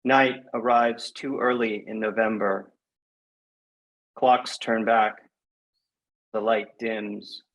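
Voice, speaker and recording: A man speaking as a guest poet in a measured, reflective, slightly hesitant way, as at a reading or interview. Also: on the low side, little pitch movement, fairly bright tone, low-quality recording. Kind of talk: poetry reading and conversational interview.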